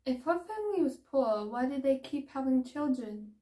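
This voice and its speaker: Girl acting as a pert little fairy student, high voice, doubts everything, echoey room. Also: expressive, normal speed, dark tone. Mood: skeptical. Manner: pert, questioning. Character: young female fairy pupil, cheeky, skeptical, argumentative. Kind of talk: in-character fantasy roleplay.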